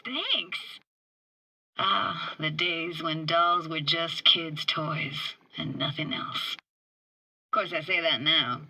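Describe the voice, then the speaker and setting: A woman's voice: medium pitch, bright, forceful tone, full character voice, poor audio. A woman playing a wry, tender young streetwise techie in a video game, with casual, clipped, slangy delivery. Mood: playful nostalgic. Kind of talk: video game character dialogue.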